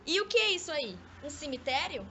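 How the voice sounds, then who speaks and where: A woman's voice: high voice, sing-song, fairly quick, pushed and bright, full character voice. A woman with a Brazilian accent acting an elegant, scornful young lady in an amateur radio drama, mocking and exasperated. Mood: annoyed. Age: young adult. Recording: noisy amateur recording.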